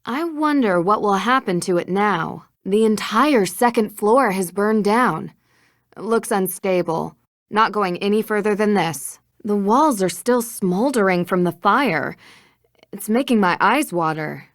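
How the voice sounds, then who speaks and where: A woman playing a curious, plucky young amateur detective in a video game, with a clear, inquisitive delivery. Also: medium pitch, lively intonation, a little slow, no room sound, studio quality. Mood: musing. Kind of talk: video game voice acting, scripted dialogue and narration.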